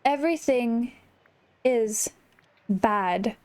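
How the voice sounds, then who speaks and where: A woman voicing a gloomy, bitter, withdrawn shadow self in a video game, with a sulky, drawn-out delivery. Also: a little high, a little mellow. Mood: sullen. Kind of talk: reading video game dialogue in character.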